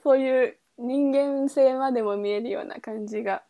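A woman's voice: sits high, moderate pace, warm tone. A woman podcast host in a casual book chat, thinking aloud in trailing, loosely linked phrases. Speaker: podcast host reviewing a novel.

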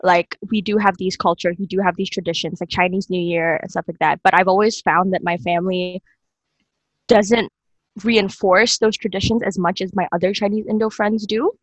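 Young woman from indonesia hosting a relaxed chat podcast, very dry close audio. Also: medium pitch, normal speed, no room sound, highs cut off. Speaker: podcast host. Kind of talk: casual panel discussion.

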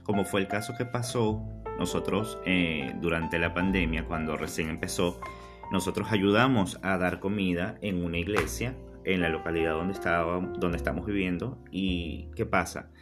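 A man from Venezuela co-hosting a casual podcast and telling an anecdote in a relaxed, measured way. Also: deep voice, over music. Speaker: podcast co-host.

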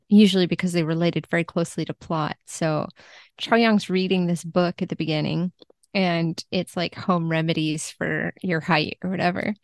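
A woman chatting casually as an interview guest, telling things in an animated way and acting out quoted thoughts. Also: fairly low pitch, no echo, studio quality. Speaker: podcast guest, book translator.

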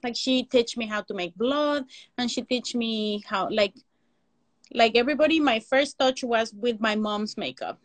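A woman from Mexico City with a Mexican Spanish accent, Spanish her first language, speaking English. She chats loosely as a guest, piling on "like" and laughing easily. Her voice sits fairly high, and the sound is close and dry with no room around it. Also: unhurried, dry recording. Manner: rambling, frequent "like" fillers, non-native grammar, laughs often. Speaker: guest, makeup and prosthetic artist. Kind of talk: casual interview.

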